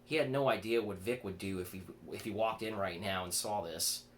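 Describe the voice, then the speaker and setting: Low-voiced man reading horror fiction, background noise. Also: fairly quick. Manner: stumbles and rereads words. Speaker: author reading own fiction aloud.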